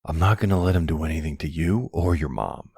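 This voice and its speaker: A deep, gravelly middle-aged crime boss, played by a male actor in an intimate roleplay drama. He speaks in a measured, fatherly way, firm yet protective. The sound is close and dry. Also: a little mellow, no room sound, heavily acted. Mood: protective, serious. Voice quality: low gravelly husky. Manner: slow, measured, fatherly, streetwise. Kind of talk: ASMR roleplay audio drama.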